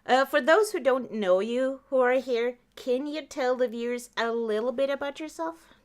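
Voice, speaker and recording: A woman's voice: high-pitched, normal speed. A woman hosting a podcast in a casual live chat, nervous and giggly. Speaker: podcast host.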